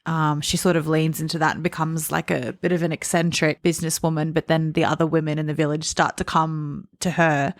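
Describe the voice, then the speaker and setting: A woman's voice: deep voice, level tone, brisk, hardly any pauses, dry recording, studio quality. A woman co-hosting a book podcast, talking casually about books.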